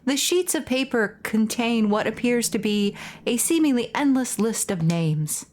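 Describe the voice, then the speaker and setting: A woman's voice: fairly high pitch, expressive, unhurried, darkish tone. A woman doing let's play narration, reading on-screen text theatrically with casual asides. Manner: reads dialogue theatrically between casual asides. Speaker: streamer reading game dialogue aloud.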